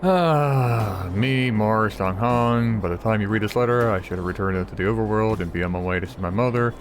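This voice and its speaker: A man's voice: fairly low pitch, fairly quick, background noise. A man giving casual, offhand playthrough commentary as a gaming host, sometimes reading aloud with stumbles. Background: game music.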